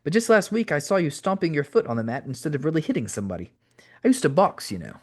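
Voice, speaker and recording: A man voicing a polite, fussy old hotel clerk with a soft English accent, in an audiobook. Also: fairly high pitch, big pitch swings, quick pace, voice pushed up. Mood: pleasant, polite. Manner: polite, apologetic.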